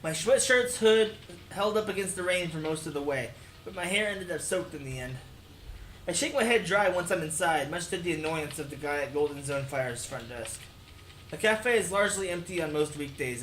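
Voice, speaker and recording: A man's voice: fairly high pitch, slight background noise. A man hosting a let's play, reading a visual novel aloud and sometimes tripping over lines. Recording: roomy voice under loud game music. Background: loud music.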